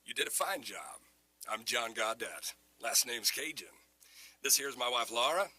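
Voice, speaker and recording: Male actor as folksy radio-drama Cajun dad, raspy edge, very dry sound. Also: mid-range voice, animated, unhurried, pushed and bright, no echo, heavily acted. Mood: affable. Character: folksy Cajun husband and father.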